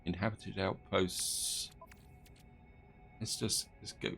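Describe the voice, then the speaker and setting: A man casually commenting on his gameplay as he plays, thinking aloud and correcting himself, his voice slightly croaky from allergies. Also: sits low, level tone, slow pace, fairly bright tone, soft background music. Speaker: gaming video host.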